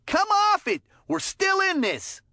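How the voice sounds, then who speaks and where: Cartoonish game hockey commentator, high-pitched, very animated, folksy, chummy, encouraging. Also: female voice, takes it slowly, no room sound, clean studio sound, heavily acted. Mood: concerned but hopeful. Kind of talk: video game sports commentary voicelines.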